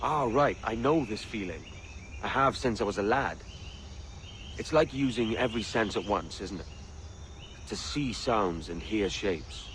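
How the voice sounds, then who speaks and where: An actor playing a brash, sceptical young pirate captain in a video game cutscene. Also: male voice, on the low side, animated, measured pace, pauses often, a bit bright, a little hiss or hum, rough recording. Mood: puzzled, curious.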